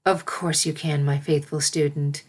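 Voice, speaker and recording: Lady playing a motherly pony princess in a storybook, regal but gentle and measured. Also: low-pitched, little pitch movement. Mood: reassuring. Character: caring adult alicorn princess, regal and motherly mentor.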